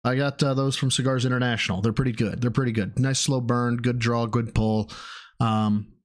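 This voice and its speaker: A man's voice: mid-range voice, fairly even intonation, normal speed. A man streaming a reaction video, talking casually and swearing freely. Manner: profane, blunt, rambling. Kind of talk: casual livestream commentary.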